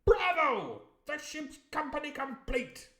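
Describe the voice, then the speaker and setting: Man putting on a high shrieking squire, old, blustering, echoey room. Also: big pitch swings, takes it slowly, pushed and bright, full character voice. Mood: excited. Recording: roomy echo.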